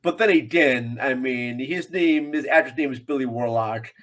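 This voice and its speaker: A man's voice: mid-range voice, normal speed, fairly bright tone. A man talking casually and in a rambling, conversational way as a guest on a film podcast.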